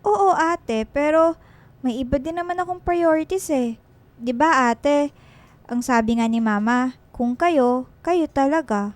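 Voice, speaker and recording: A woman's voice: high voice, measured pace, long pauses. A woman playing a cheerful, bashful sixteen-year-old little sister in a radio drama. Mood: earnest.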